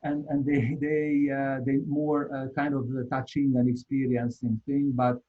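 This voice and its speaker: A man's voice: mid-range voice, little pitch movement, phone-quality sound. Hungarian-accented man presenting a webinar. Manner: halting, repeats words, earnest. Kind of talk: live webinar talk.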